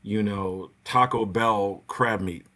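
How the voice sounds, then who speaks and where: A man's voice: on the low side, fairly even intonation, slow pace, a bit bright. A man co-hosting a podcast, chatting casually in an impassioned, opinionated way with lots of conversational filler. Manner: impassioned, ranting, peppered with "you know". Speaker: podcast host.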